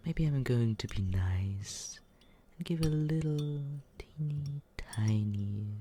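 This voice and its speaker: A man playing a smug, condescending but secretly caring young roommate in a teasing ASMR roleplay, with breathy, husky whispering and murmuring. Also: medium pitch, expressive, warm tone, a little hiss or hum. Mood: mocking, playful. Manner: teasing, condescending, slow drawl.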